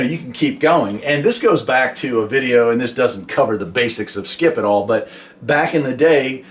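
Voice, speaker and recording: A man from the United States talking casually and explaining his radio hobby in a chatty, folksy way. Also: medium pitch. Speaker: hobby vlogger and CB radio operator. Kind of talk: casual explainer talk with radio call demonstrations.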